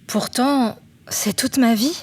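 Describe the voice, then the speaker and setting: An actress playing a brainy, teasing young woman student in a French audio drama, playful and expressive in her manner. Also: on the high side, slow pace, slight background noise. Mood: wistful. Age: young adult. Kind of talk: French audio fiction acting. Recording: music behind the voice. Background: music.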